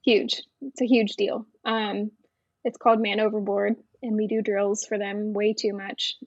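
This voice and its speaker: A woman's voice: medium pitch, level tone, a little slow, dry recording, muffled recording. A woman, a Navy veteran, chatting frankly and casually as a podcast guest, with many fillers. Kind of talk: casual interview chat.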